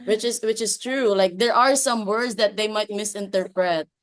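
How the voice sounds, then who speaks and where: A woman's voice: medium pitch, steady tone, normal speed. A woman from the Philippines chatting as a teacher on a video call, dropping in "like" all the time. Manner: chatty, many "like" fillers. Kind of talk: casual chat on a video call.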